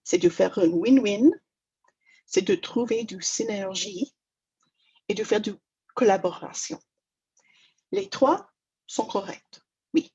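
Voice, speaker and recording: A woman's voice: on the high side, big pitch swings, slow pace, creaky, long pauses, mellow, husky, lo-fi sound. A woman from Toronto with an English Canadian accent, speaking French as a second language in a webinar, hesitant and self-deprecating with many fillers. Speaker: negotiation trainer. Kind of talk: webinar presentation.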